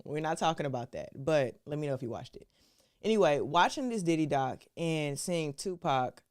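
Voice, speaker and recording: A woman's voice: deep voice, a little mellow. A woman hosting a live stream, talking to her viewers in a casual, chatty way and calling them y'all. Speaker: show host.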